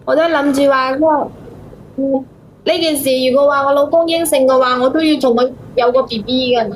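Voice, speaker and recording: A woman with a Hong Kong Cantonese accent calling an advice show, speaking in a hesitant, halting way. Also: high-pitched, fairly even intonation, a little hiss or hum, low-quality recording. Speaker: caller seeking advice. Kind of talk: unscripted phone-in chat.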